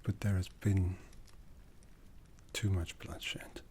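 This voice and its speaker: A man playing an ancient, jaded, aristocratic vampire in a breathy, half-whispered ASMR roleplay, haughty and seductive with long deliberate pauses. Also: low voice, level tone, darkish tone, a little hiss or hum. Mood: quiet menace. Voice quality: breathy, often dropping into whisper.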